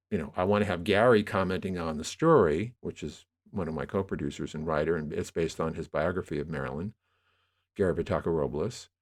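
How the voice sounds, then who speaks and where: An older man in his sixties with a fairly low voice. He speaks as a podcast guest, explaining his work conversationally, halting and restarting with emphasis as he works through each thought. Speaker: podcast guest, audio drama producer. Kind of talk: conversational interview storytelling.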